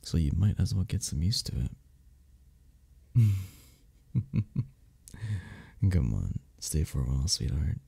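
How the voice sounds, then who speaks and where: A man playing a flirty, friendly club member in an ASMR romance roleplay, teasing and reassuring in a breathy, gravelly voice. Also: very deep voice, big pitch swings, normal speed, warm tone, heavily acted. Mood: amused, teasing. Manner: teasing, reassuring, chuckles between phrases. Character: flirty, friendly male cuddle club member showing a newcomer around. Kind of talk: ASMR romance roleplay, one-sided conversation.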